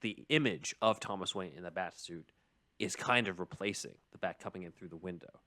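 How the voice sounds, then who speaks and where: Low voiced guy on a podcast, nerdy analytical fan talk, casually walking through a story. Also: fairly quick, pushed and bright. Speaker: podcast host. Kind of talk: casual chat, explaining a film treatment.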